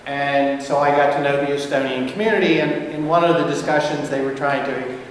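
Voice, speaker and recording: A man's voice: a little high, a little fast, a little hiss or hum. An older man giving a friendly, rambling academic lecture with many fillers and restarts. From United States. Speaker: guest lecturer. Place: large lecture hall. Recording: echoey hall from far off.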